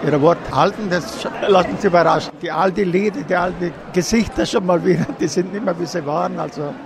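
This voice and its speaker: An older man chats casually in an interview. His voice is gravelly and a bit high. A noisy crowd presses in around him. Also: a little fast. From Banat, Romania. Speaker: event visitor being interviewed. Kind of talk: casual chat. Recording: noisy crowd, loud ambient din.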